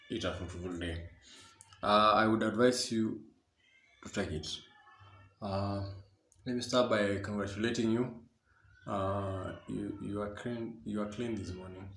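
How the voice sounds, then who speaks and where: A fatherly, authoritative male deputy principal played by an actor gives a radio-drama assembly address. His voice is fairly low and gravelly, and he halts and repeats himself, with faint noise behind him. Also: fairly bright tone, roomy sound. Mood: formal, measured. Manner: halting, hesitant, repeating phrases.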